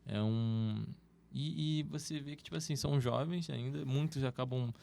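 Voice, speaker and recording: Gravelly-voiced podcast co-host. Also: male voice, medium pitch, moderate pace, mellow. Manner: brief agreeing interjections. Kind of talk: casual interview talk. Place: podcast studio.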